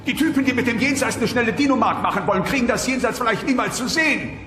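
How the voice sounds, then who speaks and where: A man's voice: very high voice, lively intonation, fast, sharp tone, exaggerated voice. A man playing an affectionate dinosaur husband speaking theatrically from the afterlife in an audio play. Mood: warning. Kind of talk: German-dubbed sitcom audio play. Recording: echoey long reverb, music behind.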